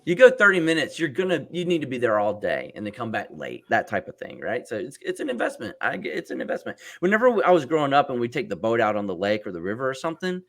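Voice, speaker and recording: A man hosting a casual chat over a video call, talkative and quick to laugh, asking his guests questions. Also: medium pitch, wildly swooping pitch, fairly bright tone. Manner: chatty, laughs often, asks questions. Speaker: podcast host.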